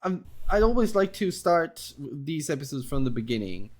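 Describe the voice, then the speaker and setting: A man's voice: a little high, very animated, normal speed. A man hosting an interview podcast in a polite, conversational way, often wordy and hesitant as he talks.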